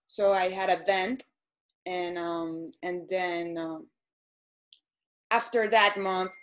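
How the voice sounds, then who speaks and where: A woman, answering interview questions hesitantly with many pauses and ums. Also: on the low side, steady tone, fairly bright tone. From Venezuela. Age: 30s. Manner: hesitant, frequent ums and restarts. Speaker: guest.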